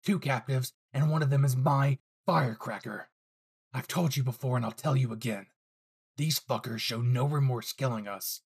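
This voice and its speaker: A man's voice: medium pitch, normal speed, husky. A man voicing a furious, foul-mouthed young male hero in an anime fan audio drama, aggressive and ruthlessly protective. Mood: angry, bitter. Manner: aggressive, profane, defiant.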